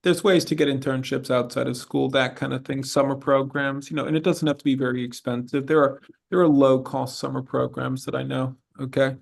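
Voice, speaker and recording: A man's voice: mid-range voice, flat delivery, fairly quick, vocal fry, few pauses. A man working as an admissions consultant, informally answering student questions in a frank, encouraging way and often repeating himself. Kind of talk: informal advisory Q&A.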